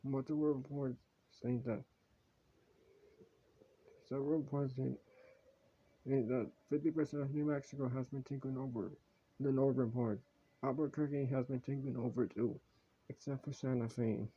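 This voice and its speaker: A man playing a grave emergency broadcaster, halting and repeating himself as he reads out urgent warnings in a dull home recording. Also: medium pitch, fairly even intonation, breathy edge, lightly acted. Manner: halting, repetitive, stumbling over the script. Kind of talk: mock emergency broadcast announcement.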